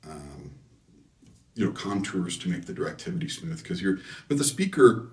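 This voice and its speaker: A man being interviewed as a designer, explaining technical matters casually and haltingly, often correcting himself. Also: deep voice, very animated, darkish tone. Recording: echoey room, distant microphone.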